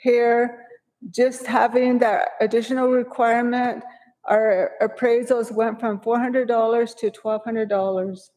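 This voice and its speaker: Woman with a mellow voice in a reverberant hall. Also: fairly high pitch, little pitch movement, unhurried. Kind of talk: council meeting discussion. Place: large council chamber with flags.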